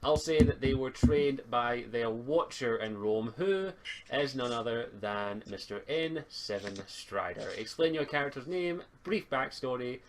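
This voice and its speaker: A man's voice: medium pitch, animated, measured pace. A man chatting casually as game master and host of a tabletop roleplay session over a group voice call. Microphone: computer mic on internet voice call. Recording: noisy home mic on group voice call.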